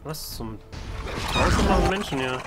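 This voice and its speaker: A man giving in-character roleplay commentary on a game, halting and stammering, with a wry manner. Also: on the high side, lively intonation, over loud music. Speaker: let's player roleplaying as his game character.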